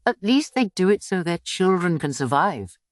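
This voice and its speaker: A woman reading a novel aloud as an audiobook narrator, in an expressive scripted storytelling style. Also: lowish voice, moderate pace, no room sound.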